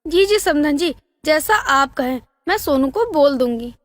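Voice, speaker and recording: A woman's voice: sits high, animated, measured pace. A woman voicing a proud, bossy middle-aged mother-in-law in a family story. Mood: polite.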